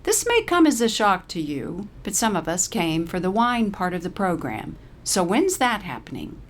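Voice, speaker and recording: A woman voicing a crotchety, sharp-tongued old woman from a retirement home story, brash, demanding and wisecracking. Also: mid-range voice, very animated, normal speed, slight background noise, natural voice. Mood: pushy, sarcastic.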